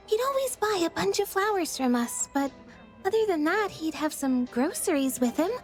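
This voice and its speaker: A woman's voice: very high voice, very animated, moderate pace, soft background music. A woman voicing a sweet, helpful flower shop clerk in a video game, chirpy and obliging.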